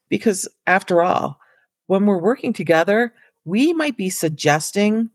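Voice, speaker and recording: A woman hosting a solo podcast for school counselors, her voice hoarse and raspy, talking casually and encouragingly to her listeners as friends. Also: fairly low pitch, no echo.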